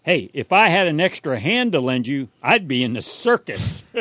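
A man's voice: fairly high pitch, big pitch swings, measured pace, a bit bright, no echo. A man co-hosting a radio show in bantering chat, a folksy jokester with a hesitant delivery.

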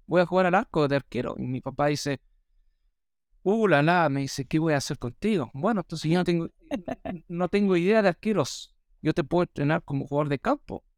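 A man born in Australia whose first language is English, hosting a podcast and telling stories in a lively way with many fillers. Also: on the high side, fairly quick, dry recording, clean studio sound. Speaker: podcast host. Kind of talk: casual chat.

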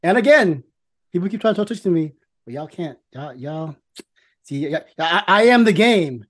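Cocky, slangy man, slightly high voice. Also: animated, normal speed. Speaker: podcast co-host. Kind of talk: casual chat, self-promotion.

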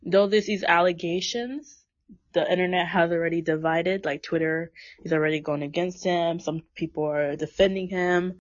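A young woman in her twenties runs a casual podcast discussion. Her voice sits a little low and the dry, dull sound is lo-fi. She talks in a chatty, opinionated way, full of "like". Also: steady tone, moderate pace, no echo. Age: 20s. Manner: chatty, filler-heavy, opinionated. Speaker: podcast host.

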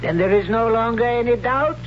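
A stern, zealous old high priest. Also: male voice, brisk. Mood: solemn. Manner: solemn, commanding, preaching. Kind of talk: 1970s radio drama acting. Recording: dull lo-fi old radio broadcast with hiss.

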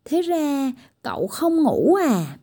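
A woman voicing a gentle but bossy blue-eyed tiny girl who fusses over a patient in a children's storybook. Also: sits high, very animated, takes it slowly, voice pushed up, exaggerated voice. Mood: caring. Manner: scolding yet caring.

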